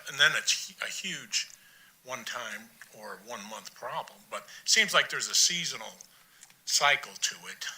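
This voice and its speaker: A man at a council work session asking questions, halting and thinking aloud. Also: a little high, sharp tone, rough recording. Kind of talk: public meeting questions.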